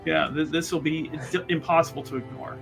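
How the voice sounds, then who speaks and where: A man's voice: fairly high pitch, fairly even intonation, a little fast, creaky, music in the background. A man playing a tabletop roleplaying game, speaking in character with boastful, laughing banter.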